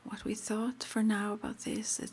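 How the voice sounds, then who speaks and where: A woman whispering gently and hesitantly in an ASMR roleplay, as an interviewer asking intake questions in a breathy voice. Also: mid-range voice, little pitch movement, a little slow. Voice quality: breathy whisper with some creak. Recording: faint background noise.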